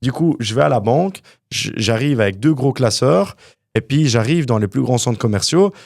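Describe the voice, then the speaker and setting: A young man from Canada, a guest entrepreneur telling his story in a casual interview, animated and full of fillers. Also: medium pitch, normal speed, gravelly, no echo, studio quality. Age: young adult.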